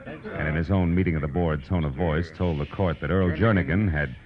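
Low-voiced hard-boiled private eye. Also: male voice, brisk, crowd noise. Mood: wry. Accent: American. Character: hard-boiled male private detective, wry and worldly. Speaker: lead actor and narrator. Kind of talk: 1940s radio noir narration. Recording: dull old radio recording, music behind.

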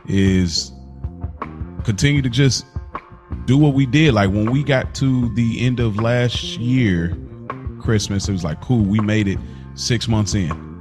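A man co-hosting a podcast, talking casually and reflectively as he thinks aloud. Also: on the low side. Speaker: podcast co-host. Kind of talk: casual conversational podcast talk.

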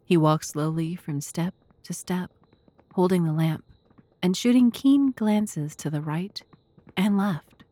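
Low-voiced woman narrator, dry close sound. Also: mellow, no room sound. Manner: measured, literary storytelling. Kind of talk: scripted first-person narration of a classic mystery audio drama.

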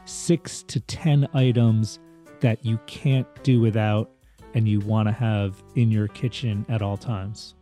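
A man's voice: on the low side, fairly even intonation, slow pace, warm tone. Male podcast host, faint music behind. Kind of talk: casual interview chat.

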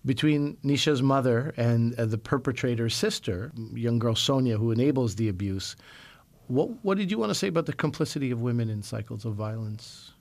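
A man hosting a radio book interview, asking steady, measured questions and backing them up with brief affirmations. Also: medium pitch. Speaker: radio host.

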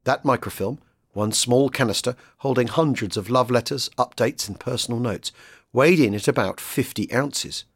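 A man with a slightly husky voice reading scripted documentary narration about history. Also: mid-range voice, moderate pace, dry recording, clean studio sound.

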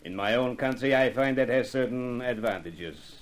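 A man's voice: medium pitch, moderate pace, natural voice. A man playing an imperious, self-indulgent foreign prince who speaks formally in a 1950s radio drama.

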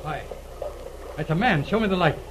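An actor playing a steady, practical mounted police inspector, speaking in clipped, matter-of-fact sentences in an old-time radio drama. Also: male voice, sits high, moderate pace, noisy background. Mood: tense, grave.

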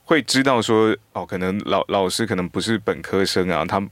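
A man with a Taiwanese Mandarin accent chatting as a guest actor, haltingly and often correcting himself. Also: deep voice, dry recording. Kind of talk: casual interview chat.

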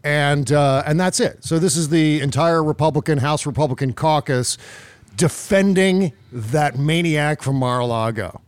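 A man's voice: on the high side, moderate pace, clean tone, no echo. A man hosting a podcast, talking casually and emphatically about politics, opinionated and given to swearing. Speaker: podcast host.

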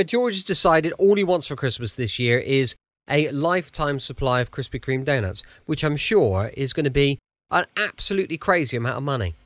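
Pria Inggris pembawa podcast, intonasinya sangat hidup. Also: male voice, a little high, fairly bright tone, dry recording, clean studio sound. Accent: British. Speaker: podcast host. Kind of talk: chatty show introduction. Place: home, close dry recording.

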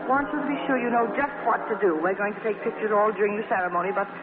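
Casting: woman as proud, take-charge editor, theatrical and brisk, medium pitch, tinny vintage radio play. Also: a loud crowd, natural voice. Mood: directing. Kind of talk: 1940s radio acting. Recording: noisy, band-limited 1940s radio broadcast.

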